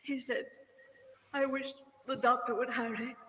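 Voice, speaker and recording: A woman's voice: high voice, steady tone, a little slow, a bit bright, slight background noise. An actress playing a distraught, jealous, pleading young woman on trial in a 1950s radio drama. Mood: distressed. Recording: dull old radio recording, long reverb.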